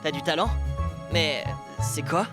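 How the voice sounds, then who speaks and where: A woman's voice: sits low, unhurried. A woman playing a hungry, cheeky young boy adventurer in a French cartoon, casual and exclamatory. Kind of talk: French animated series dubbing. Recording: loud music behind the voice.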